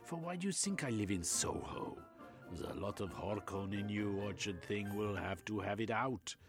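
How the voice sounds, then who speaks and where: A man voicing a flamboyant, pushy middle-aged German doctor with a German accent, excitable and lecturing. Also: fairly low pitch, normal speed, music in the background, voice pushed up.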